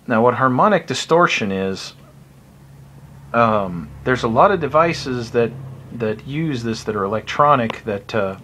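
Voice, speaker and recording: A man's voice: medium pitch, a bit bright, a little hiss or hum. A man with an American accent giving an informal, unscripted tutorial in a plain-spoken, explanatory and somewhat hesitant way. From United States. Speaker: hobbyist video presenter.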